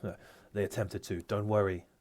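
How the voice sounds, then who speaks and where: A man as a grave, deep-voiced knight. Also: level tone, darkish tone, natural voice. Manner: formal, measured briefing.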